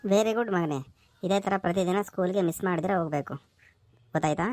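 A woman's voice: lowish voice, quick pace, heavy background noise, lightly acted. A woman voicing a sly, affectionate family man in a comedy cartoon skit. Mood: advising. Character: wisecracking husband and father, affectionate yet sly.

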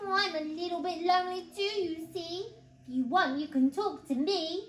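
A woman voicing a small, excitable little boy in a storybook, with a squeaky delivery. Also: normal speed. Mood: scared, shy. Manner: high, stammering when scared, squeaky. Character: small shy little boy, lonely then giggly. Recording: roomy indoor echo.

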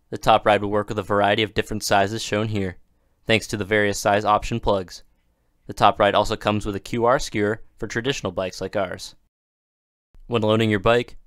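A man giving scripted, even-toned narration for a product installation video, with steady instructional delivery. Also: fairly low pitch, no echo, clean studio sound.

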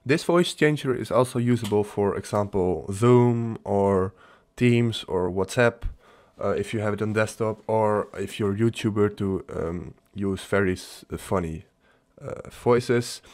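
A man hosts a casual how-to on software in a somewhat low-pitched voice. He explains things one step at a time, with a halting, unscripted delivery. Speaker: tutorial host. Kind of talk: casual software tutorial narration.

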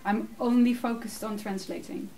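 A Dutch woman in her thirties answering audience questions in a casual, animated way, laughing often; English is her second language. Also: fairly high pitch, normal speed. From Netherlands. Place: small room with curtained backdrop, seated. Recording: distant mic, faint room noise.

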